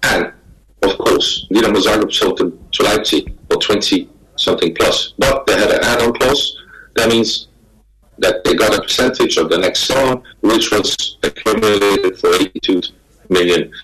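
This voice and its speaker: Man, lowish voice, halting speech, guest panelist on a video call. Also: fairly bright tone, poor audio. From Denmark. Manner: halting, many repeated words. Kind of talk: remote panel talk.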